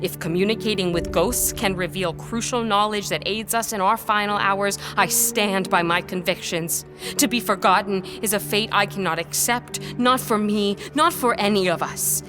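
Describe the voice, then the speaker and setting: A woman acts out an earnest, defiant historian in an audio drama. Her mid-pitched voice is articulate and deliberate, with music clearly playing behind it. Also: natural voice. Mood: impassioned, urgent. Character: defiant, earnest female scholar-historian on trial. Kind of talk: scripted audio drama acting.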